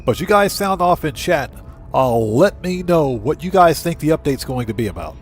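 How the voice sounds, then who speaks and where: Male game streamer, slightly high voice, chatty and informal, music underneath. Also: big pitch swings, moderate pace. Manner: chatty, thinking aloud, addressing viewers informally. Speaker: livestream host. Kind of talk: casual video game livestream commentary.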